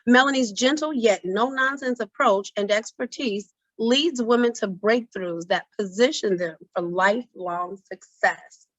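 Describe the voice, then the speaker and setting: A woman with a raspy voice hosting an online event, speaking in a courteous, organised announcing manner. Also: fairly high pitch, measured pace, dry recording. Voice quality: raspy this evening. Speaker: host of an online symposium. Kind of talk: event introduction and hosting on a video call. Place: indoors on a video call. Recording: dull video-call audio.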